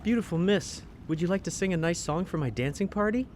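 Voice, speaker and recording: A man's voice: high voice, lively intonation, brisk, a little mellow, slight background noise. A man playing a gallant, lovestruck prince in an audio drama, with an earnest, courtly manner. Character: lovestruck gallant fairy-tale prince, adult male.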